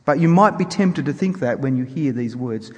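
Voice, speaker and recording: A man preaching informally to a congregation, explaining in a measured way with emphatic pauses. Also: medium pitch, animated, darkish tone, lo-fi sound. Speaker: preacher introducing a Bible reading. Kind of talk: informal sermon introduction to a congregation. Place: church hall before a congregation. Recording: echoey hall with long reverb.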